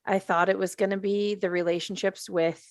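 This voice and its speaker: A woman's voice: medium pitch, level tone, normal speed, dry recording, studio quality. A woman chatting casually as a panelist on a discussion podcast. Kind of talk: casual chat.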